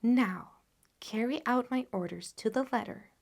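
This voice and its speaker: An assured male detective from a classic storybook, voiced by a woman narrator. He sounds analytical and instructive, laying things out briskly and with full confidence. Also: on the high side, vocal fry, a little mellow.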